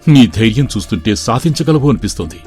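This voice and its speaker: A man voicing a gentle, weakened young nobleman in an animated story, earnest and pleading. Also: medium pitch, fast talker, soft background music, close to an everyday voice.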